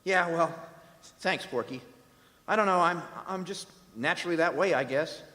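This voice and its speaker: An actor playing a nervous, easily spooked field geologist in stage radio drama style, with hesitant, colloquial speech. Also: male voice, on the high side, normal speed, sharp tone. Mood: uneasy, jittery. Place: performing arts center stage. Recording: reverberant hall.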